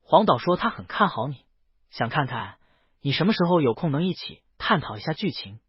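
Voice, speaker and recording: A man's voice: a little high, sing-song, a little fast, breathy edge, no echo, lo-fi sound, heavily acted. A man voicing a discreet, insinuating director's assistant.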